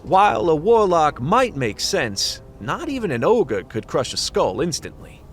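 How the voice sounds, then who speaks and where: An actor playing a world-weary, semi-retired goblin detective in a radio play, with wry hardboiled noir delivery. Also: male voice, a little high, big pitch swings, unhurried, a little hiss or hum. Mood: analytical.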